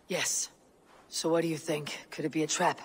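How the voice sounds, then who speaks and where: A woman playing a proud, blunt young Viking warrior in a video game, in a low, husky, measured voice with a dry challenge. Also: steady tone. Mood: businesslike. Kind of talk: video game cutscene acting.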